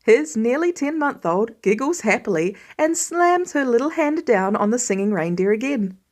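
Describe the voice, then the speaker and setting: A woman reading fan fiction aloud as an expressive audiobook-style narrator. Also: fairly high pitch, moderate pace. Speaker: podfic reader.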